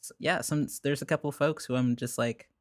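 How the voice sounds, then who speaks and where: A young man, a musician guest, telling stories in a relaxed, rambling way, with many "like"s and frequent laughs. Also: mid-range voice, brisk, no echo, clean studio sound. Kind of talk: casual conversation.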